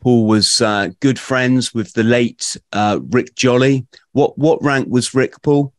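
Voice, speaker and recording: A middle-aged British man hosting an interview podcast in a halting, conversational way, often repeating words. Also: medium pitch, steady tone, dry recording, studio quality. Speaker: podcast host. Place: small room at home, video call. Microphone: close podcast microphone.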